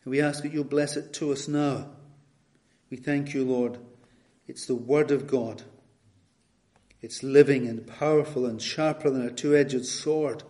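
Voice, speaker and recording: A retired Scottish pastor in his later years, guest preaching, reading scripture and leading prayer in a measured, earnest way. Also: medium pitch, steady tone, dark tone. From Scotland. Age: older.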